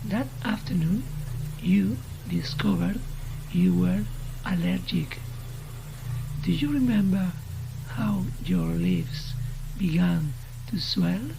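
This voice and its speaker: A woman voicing a sinister radio entity in a horror story, taunting its victim with mock-friendly, unhurried questions. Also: on the low side, lively intonation, vocal fry, background noise, heavily acted. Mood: menacing calm. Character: sinister taunting radio voice that knows secret fears.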